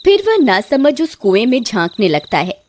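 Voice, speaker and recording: A woman's voice: fairly high pitch, big pitch swings, moderate pace, noisy background. A woman narrating a scripted horror story as a storyteller.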